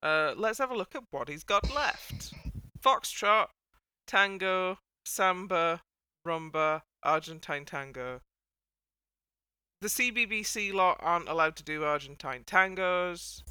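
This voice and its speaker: Podcast host, casual panel chat, crisp bright voice, dry close sound, wry wordy phrasing. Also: mid-range voice, animated, slow pace, dry recording. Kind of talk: casual panel chat about a TV dance show.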